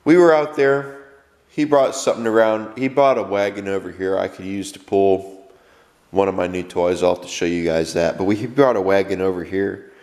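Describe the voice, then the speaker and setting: A man's voice: sits low, steady tone, normal speed, a little mellow, long reverb tail. A man with a stuffy cold, rambling casually to camera about his lawn tractors and restarting his sentences as he goes. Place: workshop.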